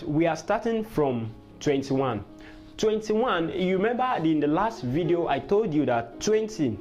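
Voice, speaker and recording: A man's voice: fairly high pitch, a little mellow. A man teaching a language lesson, patiently repeating words and checking whether viewers follow. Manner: patient, repetitive teaching with quiz questions. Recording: dull lo-fi sound with faint guitar music.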